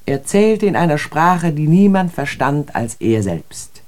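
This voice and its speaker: An actress playing a disillusioned, educated woman in a literary radio drama, speaking in a measured, poetic and pensive way. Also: sits low, very animated, a little mellow. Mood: wry storytelling.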